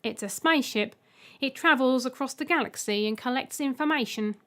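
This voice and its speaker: Woman voicing a high, formal robot egg. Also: fairly quick, fairly bright tone. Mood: matter-of-fact. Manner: formal, literal, matter-of-fact. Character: polite, blunt, literal robot egg greeting a human. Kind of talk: audiobook character voice.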